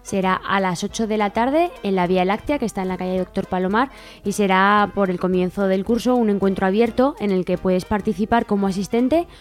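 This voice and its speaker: A woman's voice: mid-range voice, brisk, faint music behind. A woman from Spain, co-hosting a radio show and reading out event listings.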